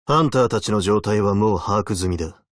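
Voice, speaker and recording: A man's voice: lowish voice, a little fast, no room sound, studio quality, close to an everyday voice. A man voicing a stern, weary veteran abyssal hunter in a video game, speaking in a terse, solemn, commanding way. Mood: grave. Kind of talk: Japanese video game character voice lines.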